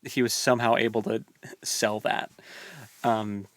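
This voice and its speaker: A man's voice: fairly low pitch, little pitch movement, a little slow, poor audio. A man co-hosting a casual book podcast, rambling easily through the plot with loose restarts. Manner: rambling, lots of fillers and restarts, easygoing.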